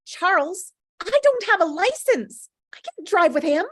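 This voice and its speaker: A woman plays an irritated fourteen-year-old girl in a script read. The voice is high and swoops sharply, terse and guarded, in a dry, close sound. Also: big pitch swings, a little slow, fairly bright tone, dry recording, full character voice. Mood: annoyed. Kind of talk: table read of a screenplay.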